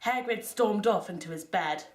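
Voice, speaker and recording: A woman's voice: medium pitch, moderate pace. A woman narrating fan fiction in the first person in a theatrical, mock-serious way. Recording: echoey room.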